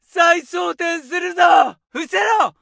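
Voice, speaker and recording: A young infantry soldier in a war game who barks short orders in a high, strained shout, his voice bright and gravelly. The lines come slowly and deliberately. The recording is dry and close. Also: dry recording, full character voice. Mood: urgent. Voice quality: gravelly, barked commands. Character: young male infantry soldier, gruff and urgent.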